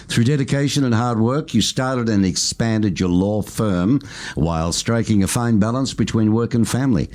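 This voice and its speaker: A man's voice: on the low side, normal speed, darkish tone. A man hosting a business radio interview, affable and chatty, reading out the guest's background. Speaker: radio show host.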